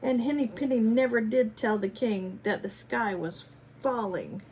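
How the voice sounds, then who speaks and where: A woman reading a picture book aloud to children in a teacherly way, pausing to ask them questions and adding dramatic emphasis. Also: on the high side, darkish tone, a little hiss or hum, low-quality recording. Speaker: children's story reader, former teacher. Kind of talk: animated picture-book read-aloud with chatty asides to children.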